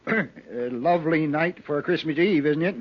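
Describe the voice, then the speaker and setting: A man's voice: a little high, normal speed, natural voice. An actor playing a meek, kindly, slightly peculiar town handyman in a 1950s radio western, bashful and polite, earnestly repeating himself. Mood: shyly excited. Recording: muffled 1950s radio recording.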